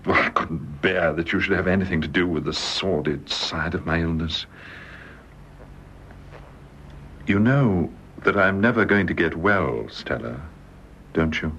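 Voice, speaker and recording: A British actor in a radio drama playing a bedridden husband, devoted, wistful and resigned, speaking earnestly and haltingly. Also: male voice, deep voice, lively intonation, a bit bright. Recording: dull lo-fi radio drama with hiss.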